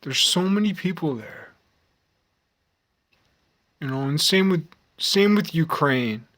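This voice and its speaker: A man in his forties with an American accent, giving a rambling, reflective monologue in a halting, musing way with repeated phrases. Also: on the high side, lively intonation, a bit bright. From United States. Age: 40s. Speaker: vlogger.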